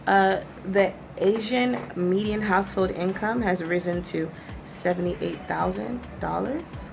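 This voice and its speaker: A woman's voice: medium pitch, unhurried, creaky, over music, poor audio. An American-born woman presenting for a real estate company, talking casually to camera with many fillers and restarts while working from notes. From United States. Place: in Nigeria.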